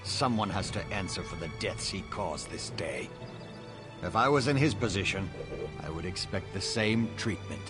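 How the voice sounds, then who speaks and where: A man's voice: low-pitched, lively intonation, moderate pace, music in the background. An actor playing a proud, severe middle-aged lord and father in a video game, commanding and formal. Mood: stern, weary. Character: stern lordly father, lawbound, proud, unforgiving. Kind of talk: scripted video game dialogue.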